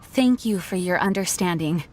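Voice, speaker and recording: A woman playing a dutiful young knight commander in a video game, speaking in a measured, formal and earnest way. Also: on the low side, darkish tone, a little hiss or hum, lightly acted. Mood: vigilant. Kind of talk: video game character acting. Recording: music behind the voice.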